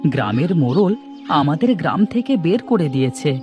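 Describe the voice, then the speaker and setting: A woman's voice: low-pitched, sing-song, moderate pace, highs cut off, over music, pitched below the performer's own voice. Meek cartoon villager, a woman putting him on, worried and humble, voice swinging up and down. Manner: pleading, anxious, deferential. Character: poor young village husband, meek and worried.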